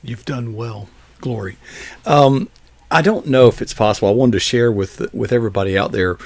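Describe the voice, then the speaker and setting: A man's voice: mid-range voice, normal speed, no echo. An older man hosting a live online interview, folksy and encouraging, asking rambling questions. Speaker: show host.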